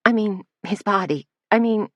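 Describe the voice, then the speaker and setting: A woman's voice: normal speed, no room sound, lo-fi sound, lightly acted. A woman reading the lines of a flustered young female assistant, her voice raised and words tumbling out. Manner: breathless, words tumbling out, higher pitched.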